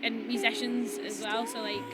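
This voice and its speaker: A woman's voice: a little high, flat delivery, normal speed, loud music behind the voice. A Scottish woman, a singer and songwriter, chatting casually in an interview with easy laughs and trailing fillers. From Scotland.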